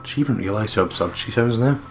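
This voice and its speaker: A man's voice: lowish voice, fast, background noise. A man reading visual novel narration aloud as a let's play host. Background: game music with guitar.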